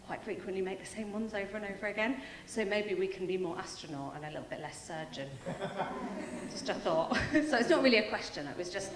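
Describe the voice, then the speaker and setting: A British woman speaking from the audience, her voice fairly low for a woman. She muses aloud in a chatty way with little laughs between thoughts. The hall around her is roomy and echoey. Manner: musing, chatty, laughs lightly. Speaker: audience member. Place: large hall.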